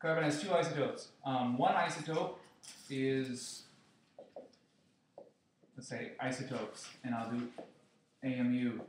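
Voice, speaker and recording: A man's voice: mid-range voice, steady tone, slow pace, long pauses, recorded from a distance. A man teaching a chemistry review lesson to his class, playful and joking with students. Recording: echoey classroom with noise.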